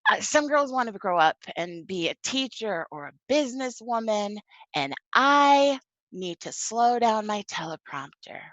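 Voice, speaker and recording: A woman's voice: fairly high pitch, very animated, a little slow, fairly bright tone, no room sound, lo-fi sound. A woman around forty giving a prepared personal talk in a candid, wry, emphatic conversational way. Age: 30s to 40s. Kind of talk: prepared personal testimony read aloud, conversational.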